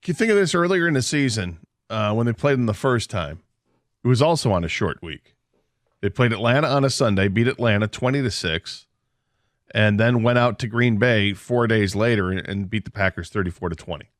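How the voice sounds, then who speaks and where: A man's voice: on the low side, animated, brisk, no echo, studio quality. A man co-hosting a sports radio show, breaking down a football game in a casual, analytical way. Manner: analytical, confident picks. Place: radio studio.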